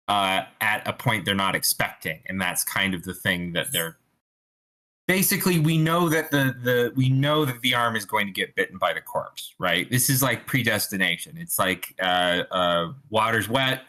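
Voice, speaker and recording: Bright-voiced man talking through script notes. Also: lowish voice, rough recording. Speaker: participant giving script feedback. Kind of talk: casual group discussion of screenplays. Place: online meeting.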